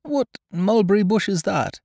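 A kindly, simple-minded teddy bear, his voice pitched up high and bright with innocent curiosity. The recording is close and dry. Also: lively intonation, unhurried, gravelly, dry recording, heavily acted. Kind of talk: audiobook character voice.

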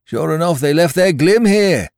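A man voicing a rough pirate henchman in an audiobook, calling out in alarmed, grumbling sailor talk. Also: medium pitch, sing-song, normal speed, no echo, studio quality, pitched above the performer's own voice. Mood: reporting, calling out.

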